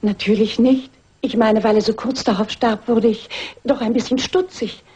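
A woman's voice: on the high side, steady tone, moderate pace, warm tone, breathy edge. An actress playing a timid, anxious female companion in a German radio crime drama, hesitant, polite and apologetic.

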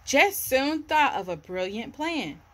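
A woman's voice: high-pitched, big pitch swings, takes it slowly. A woman in her thirties reading a children's story aloud on a porch. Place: outdoors on a covered house porch. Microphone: camera or phone at about arm's length.

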